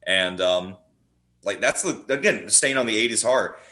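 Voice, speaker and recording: Man, low pitch, roomy echo, casual film chat, enthusiastic, rambling storyteller, repeated word starts. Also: expressive, moderate pace, sharp tone. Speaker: podcast guest, horror playwright. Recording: roomy, echoing sound.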